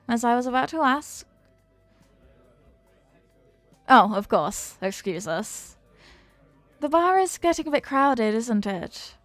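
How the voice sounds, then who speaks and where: A woman's voice: a little high, no echo. A woman playing a flirty, confident, playful stranger in an intimate roleplay, with a teasing, coy, sweet-talking manner. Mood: warm, inviting. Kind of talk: intimate roleplay audio acting.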